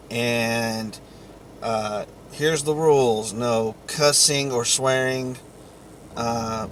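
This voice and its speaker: A man giving an informal explainer monologue to viewers in a halting, deliberate way, with long pauses. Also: medium pitch, slight background noise. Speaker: vlogger.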